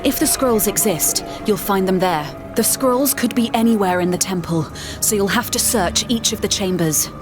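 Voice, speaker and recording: A woman's voice: mid-range voice, moderate pace, hardly any pauses, music in the background, natural voice. A woman voicing an earnest, learned female scholar who explains spells and gives quest directions in a game. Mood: explanatory. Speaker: quest giver.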